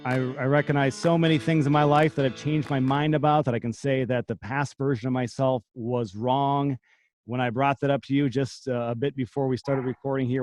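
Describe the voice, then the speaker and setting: A man's voice: medium pitch, darkish tone, no room sound. A man in his thirties hosting a podcast, asking thoughtful, reflective questions in conversation. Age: 30s. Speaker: podcast host. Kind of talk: conversational interview.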